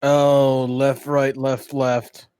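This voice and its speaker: Male gamer, medium pitch, conversational game-table talk, recorded very dry. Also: flat delivery, extremely slow, a little mellow, clean tone, no echo. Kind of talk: casual chat in game.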